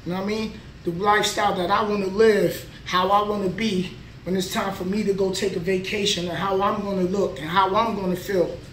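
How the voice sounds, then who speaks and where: Man in his thirties, plain-spoken motivational vlogger, fairly high voice, a bit off mic. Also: fairly even intonation, fairly quick, fairly bright tone, far from the mic, a little hiss or hum. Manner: motivational, plain-spoken, talks between sets. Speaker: vlogger, trucker. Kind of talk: casual workout vlog. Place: small indoor gym. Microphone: camera mic from a few feet away. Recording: echoey room, voice a bit off mic.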